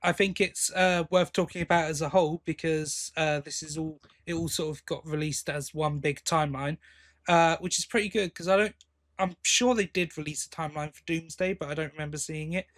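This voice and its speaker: A man's voice: a little high, fairly even intonation, a little fast, fairly bright tone. A man co-hosting a podcast in casual chat, giving his opinions informally and bluntly. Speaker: podcast co-host.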